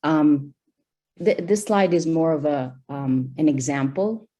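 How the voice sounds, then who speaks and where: A woman's voice: low voice, slow pace, dark tone. A young woman from Albania, a PhD candidate, presenting research over a video call with many fillers and restarts.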